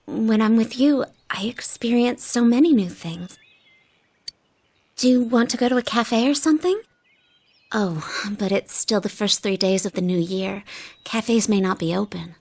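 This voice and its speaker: An actress playing a shy, polite young woman in anime game dialogue, soft-spoken and demure. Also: mid-range voice, a little slow. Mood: happy, tender. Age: young adult.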